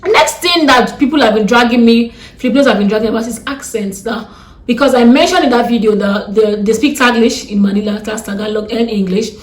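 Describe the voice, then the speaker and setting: A young Nigerian woman with a strong Nigerian accent, talking fast and bluntly in a ranting vlog, exasperated and defiant. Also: fairly high pitch, echoey room. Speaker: vlogger.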